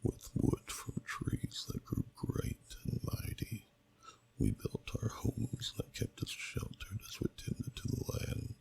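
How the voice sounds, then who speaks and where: A man voicing an ancient, solemn dragon who slowly tells a story in a deep, gravelly, breathy half-whisper, in ASMR style. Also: a little mellow, natural voice. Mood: wistful, reverent. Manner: slow, solemn, deliberate, archaic phrasing. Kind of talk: ASMR storytelling, scripted narration.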